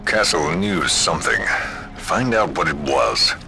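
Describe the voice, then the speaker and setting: An actor playing a commanding, weary male corporate boss in a video game, clipped and forceful. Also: sits low, animated, unhurried, music in the background. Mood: stern. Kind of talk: video game cutscene acting.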